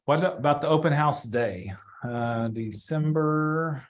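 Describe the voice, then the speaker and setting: A man giving a casual software walkthrough as a trainer, chatty and informal, thinking aloud as he works. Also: fairly high pitch, takes it slowly. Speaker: real estate trainer.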